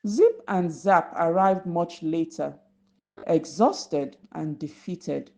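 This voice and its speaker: Slow, mellow woman storyteller, slightly dull recording. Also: on the low side, lively intonation. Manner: deliberate, instructive, addressing children. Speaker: children's storyteller. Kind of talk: narrating a folktale for young children.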